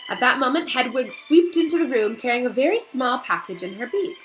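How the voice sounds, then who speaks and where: A woman's voice: sits high, expressive, fairly quick, a bit bright, soft background music. A woman teacher reading a story aloud to children in an encouraging, emphatic way. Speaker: elementary school teacher reading aloud to students.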